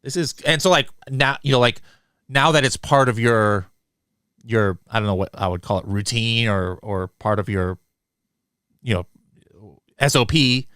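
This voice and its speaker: A man's voice: mid-range voice, a little slow, long pauses, fairly bright tone, clear, resonant tone, dry recording, clean studio sound. A man hosting a business podcast, asking loose, hedging questions full of fillers. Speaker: podcast host. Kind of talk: interviewing, casual questions.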